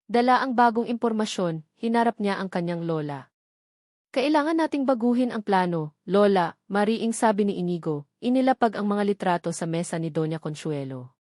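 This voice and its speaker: A woman's voice: mid-range voice, darkish tone, dry recording. Woman narrating dramatic fiction, dry sound. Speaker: story narrator. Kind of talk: scripted dramatic romance narration.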